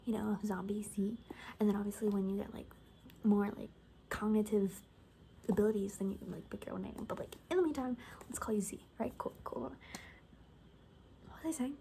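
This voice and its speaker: A woman playing a gossipy, rambling zombie apocalypse survivor in a soft-spoken, whispery ASMR roleplay, talking hesitantly and conspiratorially. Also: medium pitch, normal speed, creaky, long pauses, a little hiss or hum, natural voice, rough recording. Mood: friendly, casual.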